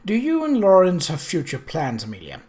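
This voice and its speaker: Gentlewoman, acted out by a narrator: measured, firm, quietly probing, for period mystery fiction. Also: mid-range voice, wildly swooping pitch, pitched above the performer's own voice. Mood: probing, softened. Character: firm, probing young gentlewoman questioning her maid.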